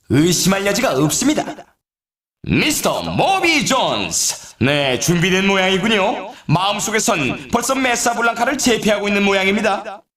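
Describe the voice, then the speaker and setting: A man playing an excitable video game snowboard race announcer, with an emphatic, showy broadcast delivery. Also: sits high, echoey room. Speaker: sports announcer. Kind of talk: scripted sports commentary for a snowboard video game.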